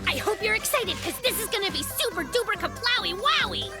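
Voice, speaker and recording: An actor voicing a cute, cocky, excitable young polar bear cub in a children's cartoon, with showy, theatrical delivery. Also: extremely high pitch, fairly quick. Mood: eager, reassuring. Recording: music behind the voice.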